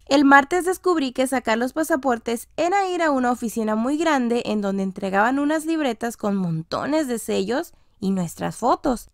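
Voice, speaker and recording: A woman reading a scripted children's audiobook story, with expressive, deliberately phrased storytelling. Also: on the high side, creaky, dry recording, studio quality. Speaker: audiobook narrator.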